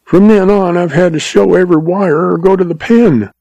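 A man's voice: high voice, moderate pace, vocal fry, warm tone, no echo, lo-fi sound, voice pushed up, full character voice. A man voicing a cringing, terrified little male telegraph agent who quavers and blubbers as he pleads. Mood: pleading, terrified.

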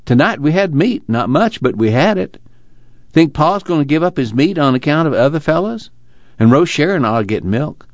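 A man's voice: fairly high pitch, sing-song, normal speed, dry recording, highs cut off, voice pushed up. A man voicing a blunt, skeptical young farm laborer in an audiobook. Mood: blunt, resigned. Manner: drawling, ungrammatical rural speech.

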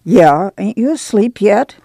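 Woman acting a weary, creaky ma. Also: mid-range voice, lively intonation, a little slow, no room sound. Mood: hushing. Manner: hushing, no-nonsense. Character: weary, firm middle-aged farm mother, gently scolding.

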